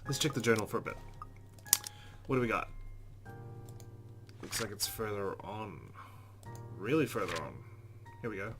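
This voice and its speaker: A man's voice: fairly low pitch, animated, normal speed, pauses often, music in the background. A man hosting a let's play, reading visual novel narration aloud with casual asides.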